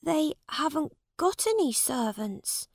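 Woman voicing a high-voiced, polite poor boy. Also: sing-song, takes it slowly, no room sound, clean studio sound, heavily acted. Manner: polite, earnest, simple. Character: poor young boy, polite, honest, simple. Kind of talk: storybook character voice.

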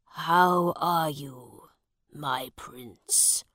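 A woman voicing an old, sick and sad king in a storybook reading, speaking slowly and wearily. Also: sits low, fairly bright tone, no echo, studio quality, voice pushed down, heavily acted. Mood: weary.

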